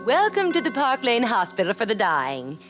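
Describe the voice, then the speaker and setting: An actress playing a callously cheerful, matter-of-fact hospital attendant in an old radio drama. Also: female voice, on the high side, wildly swooping pitch, moderate pace, over music. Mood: brisk, cheerful. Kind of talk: 1950s radio drama acting. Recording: vintage radio recording with faint noise.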